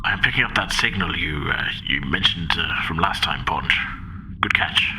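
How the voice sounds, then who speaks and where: A man's voice: deep voice, animated, moderate pace, poor audio. A man voicing a matter-of-fact male starship lieutenant in an improvised tabletop sci-fi game. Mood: businesslike. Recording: clear background noise and ambience.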